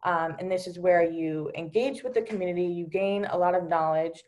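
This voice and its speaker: Young woman, lowish voice, earnest, lots of um and you know, video call audio. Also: normal speed, a little mellow. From Delaware, USA. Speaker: panelist. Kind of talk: personal account on a virtual panel.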